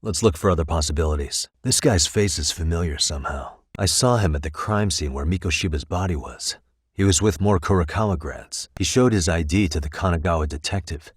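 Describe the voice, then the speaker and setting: A level-headed private detective from a video game, speaks in a low voice. He is sharp and wry, with a slightly husky edge. The sound is dry and free of room echo. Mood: serious, deducing. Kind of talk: English game voice acting, detective dialogue.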